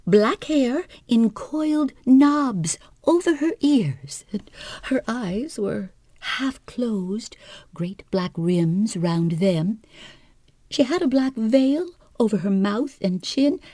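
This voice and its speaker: A woman's voice: warm tone, no echo, heavily acted. A woman voicing a fretful, self-pitying invalid wife who whines and dramatizes everything. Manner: whining, theatrical, high-strung. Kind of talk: audiobook character voice.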